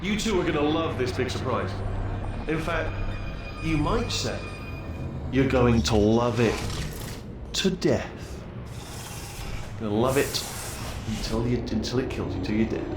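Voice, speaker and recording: A man's voice: medium pitch, unhurried, pauses often, lightly acted. A man voicing a babbling, bumbling robot core. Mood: chatty. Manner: rambling, self-correcting babble, sudden panicky yelps. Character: bumbling, nervous male robot core, chatty and inept. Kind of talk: video game cutscene dialogue. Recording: very echoey game audio, distant, with music.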